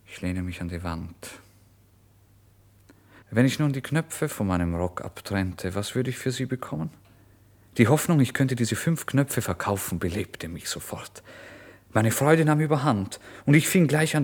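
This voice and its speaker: A man playing a starving, proud young writer in a literary radio drama monologue, theatrical and volatile, swinging between hushed and exclaiming delivery. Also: deep voice. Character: starving, feverish, proud young male writer, giddy and erratic.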